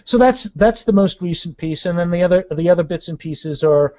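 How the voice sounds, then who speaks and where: Male podcast guest, author type. Somewhat high register, conversational and rambling, casual interview delivery. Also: brisk, dark tone, no room sound. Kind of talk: casual interview chat with anecdotes.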